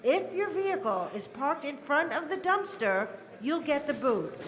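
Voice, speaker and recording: A woman's voice: sits high, big pitch swings, unhurried. A woman playing a brash, bossy rock club emcee in an audio drama, commanding and teasing as she announces from the stage. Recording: club crowd and music behind. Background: crowd and music.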